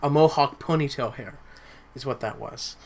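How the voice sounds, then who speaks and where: A young man who hosts a podcast, chatting casually and haltingly, repeating words as he thinks. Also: medium pitch. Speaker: podcast host and amateur voice actor. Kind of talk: casual chat.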